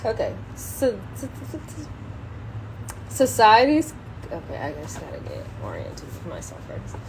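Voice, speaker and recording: A woman on a casual solo livestream, halting and thinking aloud as she chats and reads from a book. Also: a little high, wildly swooping pitch. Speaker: social media live streamer. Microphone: phone propped up in a window. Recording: clear background noise, ambient sound.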